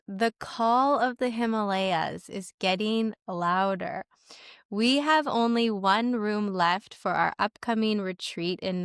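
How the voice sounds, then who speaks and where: A woman from Canada hosting a podcast, enthusiastic and gushing, her voice a bit raspy from a cold. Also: mid-range voice, a bit bright, no echo, clean studio sound. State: getting over a cold, raspy. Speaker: podcast host. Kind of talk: podcast intro and interview. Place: home in Sweden.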